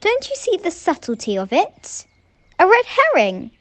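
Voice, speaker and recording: A woman playing a sharp-minded, anxious young woman in an amateur radio play, speaking emphatically. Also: very high voice, huge pitch swings, a little slow, dry recording, exaggerated voice. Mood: insistent, certain.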